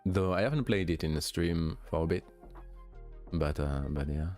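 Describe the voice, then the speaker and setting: A young man with a light French accent, a streamer chatting casually with viewers, relaxed and hesitant with many fillers and restarts. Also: low voice, moderate pace, faint music behind. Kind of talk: casual chat answering viewers.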